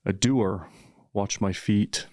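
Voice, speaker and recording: A podcast host, a man with a very deep voice and an even, almost flat melody. He speaks in a calm, soothing, measured way. The sound is dry and close. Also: dry recording. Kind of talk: measured interviewing and reciting.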